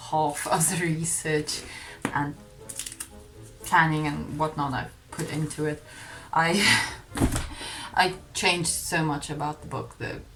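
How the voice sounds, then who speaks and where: Low-voiced young woman, Afrikaans first language. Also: rough recording. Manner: candid, self-deprecating, laughs mid-sentence. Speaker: vlogger. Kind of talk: confessional vlog narration. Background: faint music.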